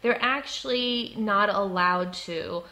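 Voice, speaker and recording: A woman of medium pitch gives an explanatory talk with personal examples. She stutters markedly, catching on and repeating first sounds. Her delivery is slow, deliberate and composed. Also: pushed and bright, rough recording. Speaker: presenter giving workplace advice.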